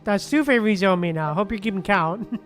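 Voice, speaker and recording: A woman's voice: medium pitch, brisk. Female game streamer, mid-range voice, casual reaction commentary, light game music behind. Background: soft game music.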